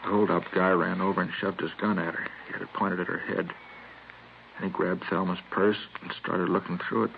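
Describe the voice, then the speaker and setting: A low, creaky-voiced wounded American schoolteacher in his thirties, shaken and earnest, with halting delivery. It is old radio drama on a tinny, very noisy recording. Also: flat delivery. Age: 30s. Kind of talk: 1950s radio drama acting.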